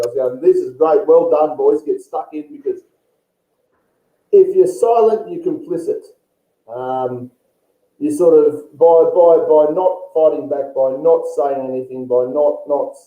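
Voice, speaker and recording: An Australian man hosting a casual political interview, chatty and blokey, often calling his guest mate. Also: medium pitch. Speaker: interviewer, show host. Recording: echoey room, phone-like thin sound.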